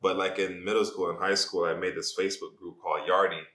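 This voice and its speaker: Low, bright-voiced young Jamaican-born man. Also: level tone, fast talker, roomy sound. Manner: laid-back, rambling with many "like"s. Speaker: podcast guest, social media influencer. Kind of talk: casual podcast chat.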